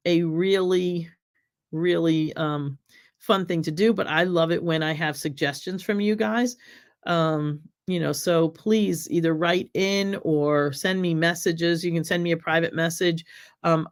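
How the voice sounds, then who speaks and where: Chatty woman, slightly raspy. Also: lowish voice. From United States. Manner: chatty, rambling, many ums, reads viewer comments aloud. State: slightly raspy, recovering from illness. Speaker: cooking show host. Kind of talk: casual live stream chat with viewers.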